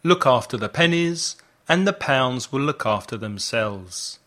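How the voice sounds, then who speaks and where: A man teaching an English lesson as host, enunciating deliberately and didactically, with phrases set out for learners to repeat. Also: medium pitch, lively intonation, pushed and bright. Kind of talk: language lesson with slow, repeat-after-me delivery.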